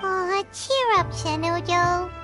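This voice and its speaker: A woman voicing a tiny, chirpy, cheeky fairy girl in a video game, squeaky and chatty. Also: slow, over music. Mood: friendly. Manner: chatty, blunt, refers to self by name.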